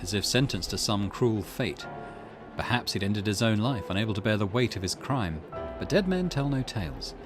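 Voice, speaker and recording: A man hosting a gaming video, reading a visual novel aloud and commenting in an expressive storytelling manner. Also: lowish voice. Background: music.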